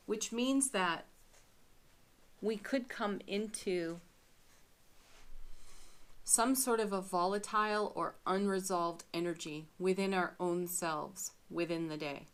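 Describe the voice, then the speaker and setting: A woman giving a chatty daily tarot reading, musing aloud with frequent soft laughs and a slightly scratchy voice. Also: mid-range voice, takes it slowly, pauses often. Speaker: tarot reader.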